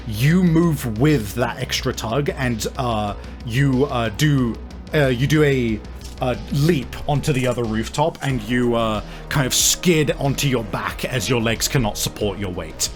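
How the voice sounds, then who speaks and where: A man improvising narration as a game master, halting as he searches for words and swearing casually. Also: mid-range voice, soft background music. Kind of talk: improvised narration of a tabletop role-playing game.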